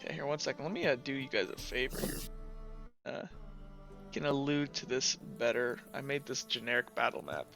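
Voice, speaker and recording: A man narrating a tabletop roleplaying game as game master, improvising scenes in halting, loose phrasing. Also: mid-range voice, lively intonation, creaky, fairly bright tone, soft background music.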